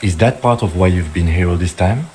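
A man as a very deep, formal police lieutenant. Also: fairly quick, a little hiss or hum, close to an everyday voice. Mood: inquiring. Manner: composed, precise, formal questioning. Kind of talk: video game voice acting. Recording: loud music behind the voice. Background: music.

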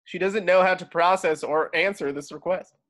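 A man's voice: sits high, fairly even intonation, normal speed, a bit bright. A man reading scripted stage directions as the narrator of a table read. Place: at home on a video call.

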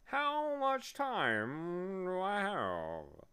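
Storybook bumblebee, male reader: boastful pedant, slow deliberate delivery, wildly swinging pitch. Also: huge pitch swings, bright, forceful tone, smooth, heavily acted. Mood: flustered. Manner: spells out words letter by letter. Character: boastful, pedantic talking bumblebee, proud and excitable. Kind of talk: theatrical children's storybook reading.

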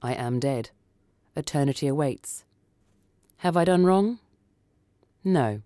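A woman reading a short story for radio in a theatrical, deliberate, dramatic narrating style. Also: low voice, animated, warm tone, no echo, studio quality.

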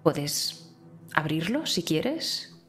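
A woman playing a tabletop roleplaying game, describing a gift slowly and gently in character. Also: low-pitched, big pitch swings. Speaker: player. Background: faint music.

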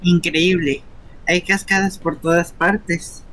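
Man voicing slow-talking young game creature, emphatic. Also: sits high, fairly even intonation, a bit bright, pitched above the performer's own voice, low-quality recording. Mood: amazed. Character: excitable, curious young male Eevee-like creature explorer. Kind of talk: game dialogue dubbing.

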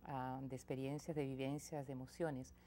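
A woman's voice: a bass voice, little pitch movement, normal speed, slight background noise. A woman, a singer-songwriter speaking reflectively as a guest in a small TV studio interview. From Chile. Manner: reflective, soft-spoken, with frequent restarts. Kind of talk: casual interview conversation. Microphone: lapel mic.

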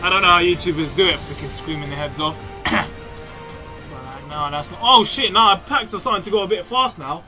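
A young man, giving loud, excitable, sweary commentary over a game, his voice going hoarse. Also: sits high, a little fast. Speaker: gaming YouTuber. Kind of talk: excited pack-opening commentary. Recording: game music playing behind.

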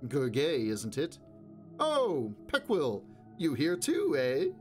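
A man doing a loud, brash ambassador. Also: fairly high pitch, huge pitch swings, takes it slowly, faint music behind, pitched above the performer's own voice, exaggerated voice. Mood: boisterous. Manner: loud, pushy, chummy. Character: brash, boisterous male ambassador, loud and overfamiliar.

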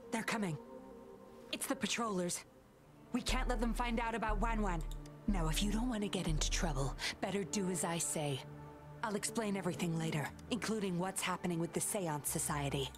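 A woman's voice: medium pitch, a little fast, close to an everyday voice. A woman voicing a sly, composed young woman who teases and stays in control, in a game with music behind. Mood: cool teasing. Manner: smooth, teasing, in control.

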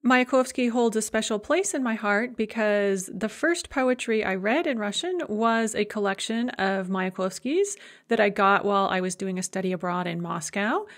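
A woman's voice: mid-range voice, expressive, normal speed, hardly any pauses. A middle-aged woman giving a recorded university lecture in a conversational way, with frequent filler sounds.

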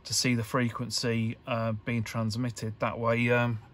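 A man giving an informal hands-on tutorial, talking in a halting, hesitant way as he thinks aloud. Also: mid-range voice, little pitch movement, a bit bright. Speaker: electronics hobbyist presenter.

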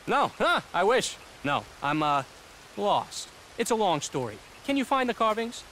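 A young male actor playing an eccentric, flustered, excitable young gentleman with old-fashioned slang in a video game. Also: sits high, a little slow, a little hiss or hum, heavily acted. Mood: excited, evasive. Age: young adult. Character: eccentric, jittery, slang-talking young gentleman, lost and scheming. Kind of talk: video game character acting.

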